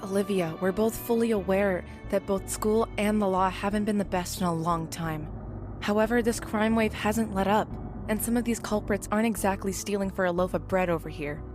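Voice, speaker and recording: A tough young ex-military security woman, played by an actress in an audio drama. She is blunt and serious, with a protective, grumbling edge and a middling pitch. Music plays clearly behind her. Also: lightly acted. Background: background music.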